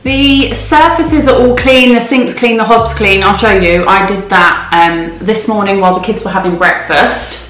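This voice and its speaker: A woman's voice: medium pitch, normal speed, few pauses, a bit bright, soft background music. A woman in her thirties chatting casually while she tidies her home, with a light, self-mocking way of talking. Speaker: cleaning vlogger. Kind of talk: casual clean-with-me vlog chat. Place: home kitchen and rooms, indoors. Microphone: camera mic, handheld and set on the counter. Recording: echoey kitchen room.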